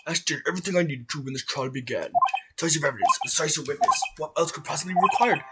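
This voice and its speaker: A man's voice: fairly high pitch, fast talker, very noisy, natural voice. A man voicing a haughty, ruthless older male prosecutor in a video game fandub, with a pompous, contemptuous delivery. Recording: music behind the voice.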